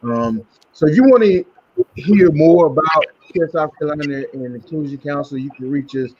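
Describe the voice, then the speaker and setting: A man's voice: fairly high pitch, normal speed, noisy background. Man hosting a podcast, dull lo-fi sound. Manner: repeats himself, many fillers. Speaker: podcast host. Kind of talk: closing a panel discussion.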